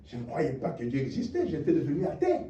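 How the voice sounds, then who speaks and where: A high, very animated male voice, preaching with fire and swinging between hushed lines and shouted rhetorical questions. His tone is warm and mellow. The recording is dull and lo-fi, picked up from a distance in an echoey church hall. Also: fast, slight background noise. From DR Congo. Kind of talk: sermon.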